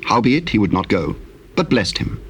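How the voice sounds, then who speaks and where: A man narrating a dramatized Bible, telling the story solemnly in measured, archaic English. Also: lowish voice, sing-song, a bit bright, a little hiss or hum.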